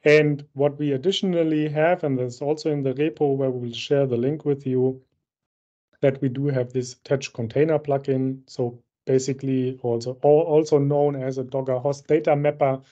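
Man, bright voice, dull call audio. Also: mid-range voice, steady tone, normal speed. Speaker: solution architect presenting a software demo. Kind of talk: technical demo presentation. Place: indoor room, on a video call. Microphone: video-call microphone.

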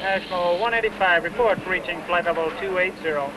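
High-pitched male controller, noisy recording. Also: fairly quick. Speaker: air traffic controller. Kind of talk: clipped procedural radio phraseology. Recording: noisy old film, control room radio.

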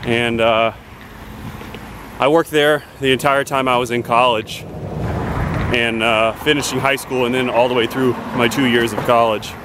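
A man's voice: mid-range voice, fairly even intonation, measured pace. Young man vlogging outdoors, noisy. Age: 20s. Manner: easygoing, rambling, many fillers. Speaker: fitness vlogger. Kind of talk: casual vlog talk to camera. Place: outdoors by a river and on a lawn. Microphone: handheld camera at arm's length. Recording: outdoor noise behind the voice.

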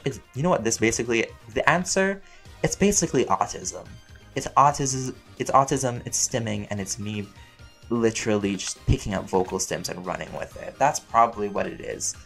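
A man chatting casually and playfully as he answers fan questions, rambling along in an expressive way. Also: mid-range voice, creaky. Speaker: voice actor and audio roleplay creator answering fan questions.

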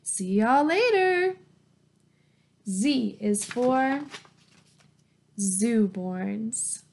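A woman's voice: fairly high pitch, animated, extremely slow, vocal fry, darkish tone, a little hiss or hum. A woman in her thirties reading a picture book aloud to young children as a teacher, in an encouraging, sing-song way. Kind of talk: children's picture-book read-aloud with simple questions and praise. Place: small plain indoor room at home. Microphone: webcam or laptop mic at arm's length.

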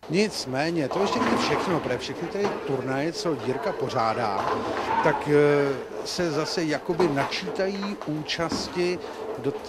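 A man's voice: on the high side, lively intonation. A man interviewing at a bowling event in a joking, colloquial way into a handheld mic. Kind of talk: casual bantering interview. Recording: noisy venue recording. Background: loud background noise.

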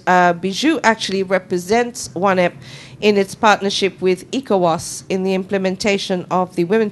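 A middle-aged woman moderates a panel at a conference, reading out formal introductions of the speakers. She talks at a measured pace, filling pauses with ums, into a handheld microphone in a meeting room. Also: mid-range voice.

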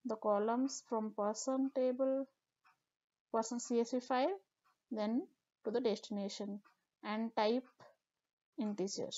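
Woman, tutorial instructor, step-by-step explaining, mellow tone, dull lo-fi recording. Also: on the high side, measured pace, long pauses. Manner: steady step-by-step explaining with fillers. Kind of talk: screen-recorded software tutorial.

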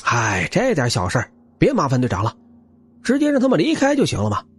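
Man acting a gang underling, audiobook, dismissive, grumbling, husky, swooping pitch. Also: huge pitch swings, fairly quick, exaggerated voice. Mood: offhand grumbling.

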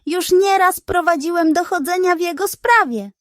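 A woman acts out a male pig detective from a bedtime storybook. He is stern and resolute, speaking high and emphatically in a very dry, close sound. His manner is firm. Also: normal speed, no room sound. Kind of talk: children's bedtime story character voice.

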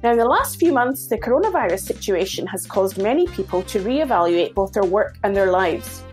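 A woman's voice: mid-range voice, animated, brisk, a little mellow, soft background music. A woman hosting a podcast, reading a polished introduction and asking interview questions. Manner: polished, welcoming presenter delivery. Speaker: podcast host.

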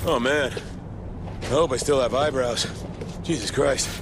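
A man's voice: medium pitch, unhurried, noisy background, close to an everyday voice. An actor playing a wisecracking, exasperated male zombie-apocalypse survivor in a video game, wry and sarcastic. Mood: wry, puzzled. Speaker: player character in a zombie action game. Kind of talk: cinematic game voice acting. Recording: loud game music underneath.

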